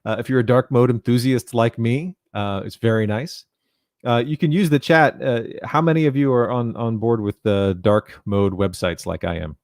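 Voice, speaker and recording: A man's voice: mid-range voice, steady tone, normal speed, darkish tone, no echo. Man presenting, close dry sound. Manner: reassuring, informal. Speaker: presenter, software documentation content developer. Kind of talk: conversational technical presentation with slides. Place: indoor room at home, online conference video call. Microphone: headset.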